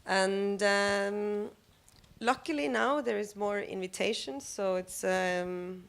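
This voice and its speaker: A woman answering as a panelist, thoughtful and halting, with a dry self-deprecating turn, in a cafe. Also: mid-range voice, creaky, clear, resonant tone. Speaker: panelist, member of a collective. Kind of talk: live panel discussion. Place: cafe of an arts venue.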